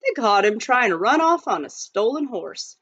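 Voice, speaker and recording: A rough gold-rush miner drawls folksy frontier talk as a woman reads him in a storybook, his voice swinging up and down. The recording is dull and dry. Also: fairly high pitch, big pitch swings, moderate pace, a bit bright, no echo, voice pushed up.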